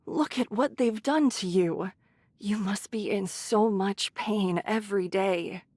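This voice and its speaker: A woman voicing a caring, brisk shelter leader who scolds in a motherly, teasing way, in an English anime game. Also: medium pitch, a little mellow, husky, dry recording. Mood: distressed.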